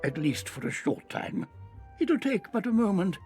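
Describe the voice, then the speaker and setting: An actor playing a kindly, slightly fussy elderly wizard headmaster in a video game, creaky and dramatic. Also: male voice, high voice, big pitch swings, normal speed, a little mellow, faint music behind. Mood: grave, kindly. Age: older. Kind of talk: video game character acting.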